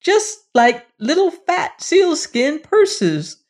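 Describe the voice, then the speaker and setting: A woman voicing a crowd of shrill, spiteful little sealskin dwarves in a storybook reading, shouting high and loud. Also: sing-song, drawn-out words, full character voice. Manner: shouting, screeching and taunting.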